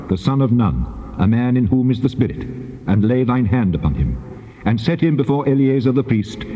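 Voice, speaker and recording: An actor playing a solemn, commanding God in a dramatized scripture recording, speaking in a measured, authoritative declamation. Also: male voice, medium pitch, natural voice. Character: the Lord God, solemn commanding male deity. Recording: dull tape, long cavernous reverb.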